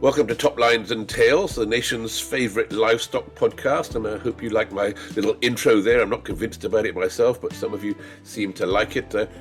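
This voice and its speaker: A man's voice: lowish voice, fairly even intonation, fairly quick, hardly any pauses, soft background music. A man hosting a farming podcast, chatty and jokey, sniffly from a head cold. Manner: chatty and jokey, laughs often. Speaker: podcast host. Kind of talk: casual interview.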